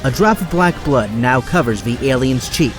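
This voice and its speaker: Male storyteller, mid pitch, dramatic action narration, very loud orchestral music behind. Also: lively intonation, moderate pace.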